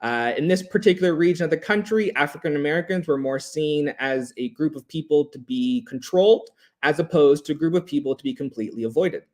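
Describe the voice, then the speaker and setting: A man giving a prepared history presentation in a measured, emphatic, formal way, as a lecturer. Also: on the high side, darkish tone.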